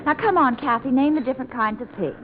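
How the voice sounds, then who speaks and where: An actress playing a sensible, exasperated woman with a crisp, schoolteacherly manner, in old-time radio comedy style. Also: high voice, quick pace, natural voice. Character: sensible, exasperated, kind-hearted office woman. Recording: tinny, noisy old radio recording.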